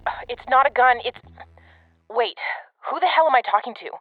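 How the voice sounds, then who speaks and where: An actress playing a cynical, impatient veteran government agent in an audio drama, clipped and commanding, heard through a radio communicator. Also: female voice, on the high side, lively intonation, brisk, no echo, narrow, tinny audio. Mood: tense, exasperated. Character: brusque, cynical, foul-mouthed government field agent woman, impatient veteran. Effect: radio communicator filter. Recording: band-limited radio link, music underneath.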